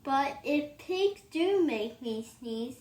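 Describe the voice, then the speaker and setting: A young-sounding female reader giving an expressive, theatrical read-aloud of a children's picture book. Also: high-pitched, slow, reverberant.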